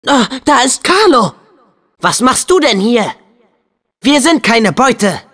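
Teenage boy hero for a game dub: earnest, plucky, very expressive pitch, easy pace. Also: takes it slowly, long reverb tail, heavily acted. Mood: alarmed. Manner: eager, expressive, youthful delivery. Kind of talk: German video game dub acting.

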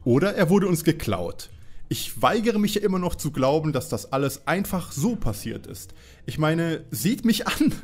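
A man's voice: a little high, very animated, moderate pace, slightly rough, heavily acted. A man playing a bewildered, indignant amateur detective in a parody audio play, with a flustered manner. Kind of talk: parody audio drama acting.

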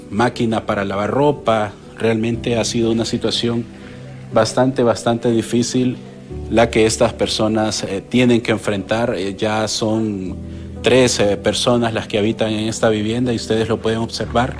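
A middle-aged man with a Honduran accent giving a halting, improvised live news report on location into a handheld microphone. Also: medium pitch, a little mellow. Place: on location at a house.

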